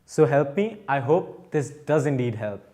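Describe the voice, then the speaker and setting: A man's voice: medium pitch, very animated, a little slow, mellow. A man hosting a youth health Q&A, explaining things upbeat and reassuringly straight to viewers. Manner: reassuring, addresses viewers directly. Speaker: host of a youth health Q&A show. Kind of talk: upbeat presenter explainer to camera.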